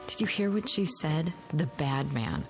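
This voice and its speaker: A worried radio drama mother with a low voice. She scolds in a dry, sarcastic way with very lively intonation, over faint music. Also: measured pace, creaky, heavily acted. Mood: sceptical. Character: anxious, sceptical wife and mother, scolding her husband.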